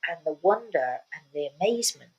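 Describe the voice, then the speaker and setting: A woman's voice: lowish voice, expressive, brisk, darkish tone, muffled recording. An older woman speaking as a spiritual medium, telling stories casually and repeating words for emphasis. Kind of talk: casual storytelling talk.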